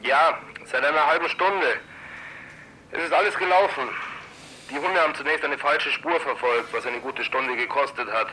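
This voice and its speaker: Bright, forceful police lieutenant. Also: male voice, a little high, normal speed, a little hiss or hum, poor audio. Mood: factual. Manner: factual, clipped reporting. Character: police lieutenant briskly giving news of an arrest. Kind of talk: radio play acting.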